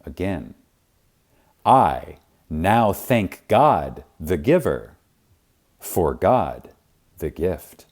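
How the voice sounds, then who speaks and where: A man narrating a spiritual audiobook in a reverent, emphatic manner with dramatic pauses. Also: deep voice, very animated, a little mellow. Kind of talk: scripted audiobook reading of spiritual teaching.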